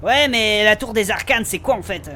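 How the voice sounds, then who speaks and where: A man playing a naive, excitable rogue who talks in colloquial, halting questions in a French fantasy comedy audio drama. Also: sits high, a little hiss or hum. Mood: puzzled. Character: slow-witted, excitable male rogue, indignant and questioning.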